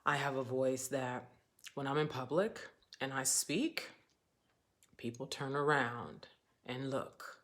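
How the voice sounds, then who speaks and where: Slow, deliberate woman on a livestream. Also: very deep voice, fairly even intonation. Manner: slow, deliberate, with soft whispered affirmations. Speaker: spiritual teacher livestreaming. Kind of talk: livestream monologue. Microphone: webcam or phone on camera.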